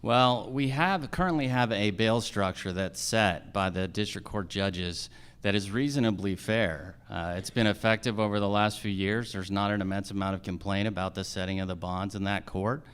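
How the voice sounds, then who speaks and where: A man with a fairly low, clear and resonant voice speaks as a candidate at a public forum. He lays out his position in plain, practical terms, explaining step by step. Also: normal speed, fairly bright tone. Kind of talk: political forum answers.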